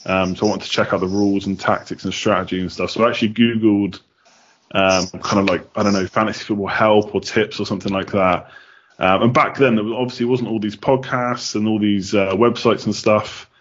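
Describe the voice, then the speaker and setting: A man's voice: lowish voice, steady tone, brisk, a bit bright, highs cut off. A man from the United Kingdom answering casually as a podcast guest, chatty with many ums.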